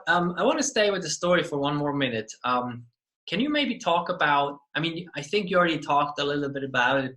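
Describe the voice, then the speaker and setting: A man's voice: a little high, bright, forceful tone. A man hosting an interview podcast, talking enthusiastically and often repeating his words. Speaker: podcast host.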